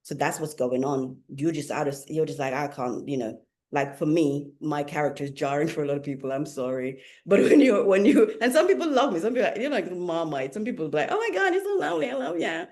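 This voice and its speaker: A woman with a Jamaican accent who lives in England, a spiritual teacher talking as a guest in a casual conversation, playful and quick to laugh. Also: deep voice. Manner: laughs often, playful and animated.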